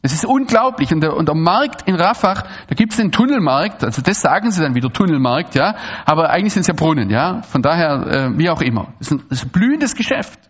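A man from Swabia, giving an animated, digressive talk to an audience with frequent restarts and asides. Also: a little high, brisk, breathy edge, muffled recording. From Swabia, Germany. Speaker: speaker giving a public talk. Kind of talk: lecture to an audience.

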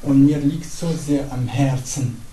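Man with Arabic accent, unhurried, halting with fillers, dark tone, echoey hall, mic far off. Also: medium pitch, fairly even intonation. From Syria. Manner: earnest, halting, with pauses and fillers. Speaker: pastor. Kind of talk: evening talk to a congregation. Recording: echoey hall, distant mic, faint noise.

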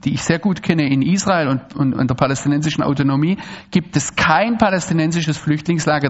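A man from Swabia, giving an animated, digressive talk to an audience with frequent restarts and asides. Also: a little high, muffled recording. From Swabia, Germany. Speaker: speaker giving a public talk. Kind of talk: lecture to an audience.

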